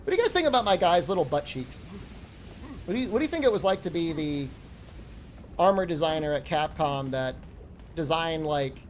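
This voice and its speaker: A man's voice: on the high side, animated, a little fast, pauses often, a little hiss or hum. A man in his forties chatting to his live-stream viewers while gaming, joking around and breaking into profane, mock-angry rants. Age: 40s. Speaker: game streamer.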